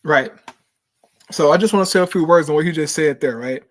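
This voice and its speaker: A man's voice: fairly high pitch, a little fast, a little hiss or hum. A man hosting and giving casual preaching commentary, emphatic, calling listeners bro and brothers and sisters and checking in with right and okay. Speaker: host.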